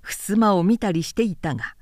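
A woman narrator reading literary fiction aloud in a measured, expressive storytelling manner. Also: medium pitch, no echo, clean studio sound.

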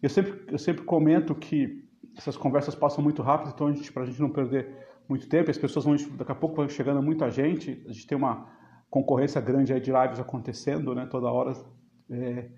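Brazilian guy hosting a live stream, warm dark voice, kinda muffled audio, rambly. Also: medium pitch, steady tone, fairly quick. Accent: Brazilian Portuguese. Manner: rambling, restarts, greets viewers by name. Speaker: host of a live online talk series. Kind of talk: casual live-stream interview. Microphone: video call.

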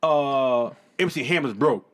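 A man from the Boston area with a light New England accent, telling stories loudly and profanely in casual podcast banter. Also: mid-range voice, a little slow. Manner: loud, profane, animated storyteller.

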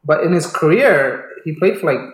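A man's voice: a little high, lively intonation, normal speed. A man hosting an interview in a casual, conversational way, putting questions to his guest. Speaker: interviewer, host. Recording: echoey, roomy sound.

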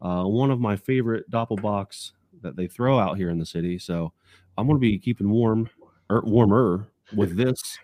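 A man co-hosting a casual sports podcast in an upbeat, excited way, recorded in a basement home studio. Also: fairly low pitch, moderate pace, no echo. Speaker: podcast co-host.

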